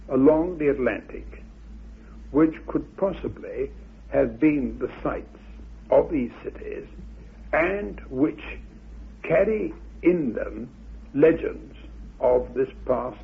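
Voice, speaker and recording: Slow, creaky-voiced man on old radio. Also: a little high. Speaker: researcher expounding theories about Atlantis. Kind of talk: interview monologue, explaining myth and history. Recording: muffled, narrow-band old radio recording.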